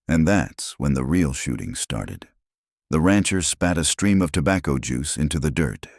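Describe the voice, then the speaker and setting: A man narrating a scripted western drama in a slow, even storytelling manner. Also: very deep voice, dry recording, clean studio sound.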